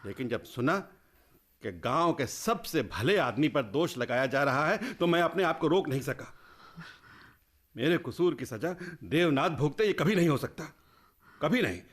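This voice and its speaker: A man's voice: a little high, expressive, moderate pace, fairly bright tone. An earnest, remorseful village man. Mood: defiant confession. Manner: earnest, emphatic. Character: remorseful village man confessing his crime, honest and proud. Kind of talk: Hindi radio drama acting.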